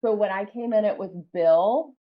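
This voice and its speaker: A woman's voice: mid-range voice, normal speed, narrow, tinny audio. A woman with a slight Southern accent, telling stories as a podcast guest in a chatty way, full of "like" and "um". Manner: chatty, lots of "like" and "um". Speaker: podcast guest, former wrestler. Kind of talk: casual interview storytelling.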